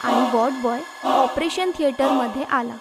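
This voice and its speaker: A woman's voice: high voice, moderate pace. A woman reading scripted horror story narration as the storyteller. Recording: loud music bed under narration. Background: loud background music.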